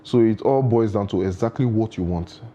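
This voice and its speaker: A man's voice: fairly low pitch, normal speed, mellow, lo-fi sound, slight background noise. Earnest man giving proverb-filled advice. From Nigeria. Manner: earnest, proverb-laden advice, frequent restarts. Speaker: guest. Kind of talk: studio interview.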